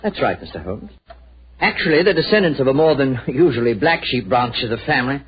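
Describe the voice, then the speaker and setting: A man's voice: medium pitch, big pitch swings, fairly quick, lightly acted. Guy acting young british earl, courteous, worried, swoopy voice, muffled 1940s radio play. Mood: earnest. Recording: dull old radio recording, faint noise.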